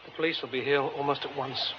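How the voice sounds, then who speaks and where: A man's voice: medium pitch, fairly even intonation, fast talker, close to an everyday voice. A male actor playing an anxious, well-spoken, polite theatre man in a radio drama, urgent and agitated. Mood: tense, shaken. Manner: urgent, agitated, hesitant when shocked. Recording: dull radio recording with clear noise.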